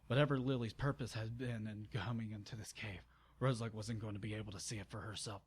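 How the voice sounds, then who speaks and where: Husky man reading a story aloud. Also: on the low side, fairly even intonation, fast. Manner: exasperated, informal, stumbles over the text. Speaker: narrator and channel host. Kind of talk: amateur dramatic story reading with sarcastic asides.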